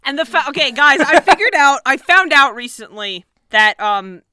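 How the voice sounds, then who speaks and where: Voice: high-pitched, animated, normal speed, pushed and bright, no echo. A podcast co-host in casual comedic banter, playful and given to mock-serious exaggeration.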